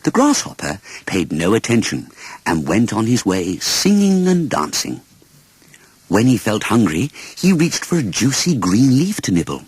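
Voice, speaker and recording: A man narrating a children's story as an expressive audiobook reader. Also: lowish voice, measured pace, slightly rough, dry recording.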